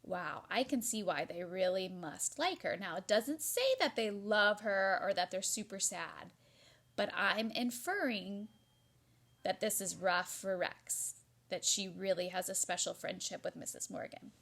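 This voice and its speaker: A woman's voice: mid-range voice, expressive, a little slow, a bit bright. A woman teacher giving an interactive read-aloud for children, explaining expressively and prompting listeners as she goes.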